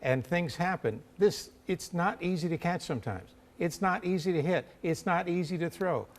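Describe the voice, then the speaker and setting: A man's voice: sits high, normal speed. A middle-aged or older man giving conversational live sports analysis on a panel.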